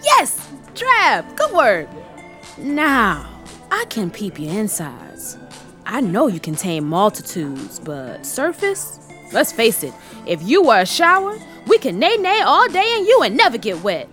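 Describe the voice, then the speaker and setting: High, swooping, brash sneak thief. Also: female voice, huge pitch swings, measured pace, a bit bright, soft background music, heavily acted. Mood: teasing, glib. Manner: glib, teasing, slangy patter. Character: brash, cheeky sneak thief named Simon, sociable hustler. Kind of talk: audio drama buddy comedy acting.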